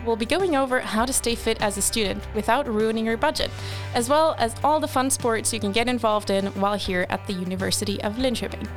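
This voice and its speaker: A woman's voice: on the high side, fairly quick, hardly any pauses, faint music behind. A young woman hosting a student podcast, asking questions and laughing along with guests. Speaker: podcast host.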